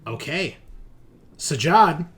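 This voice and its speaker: A man's voice: a little high, expressive, very slow, fairly bright tone, a little hiss or hum. A man running a tabletop roleplaying game as game master, narrating in a casual, colloquial way.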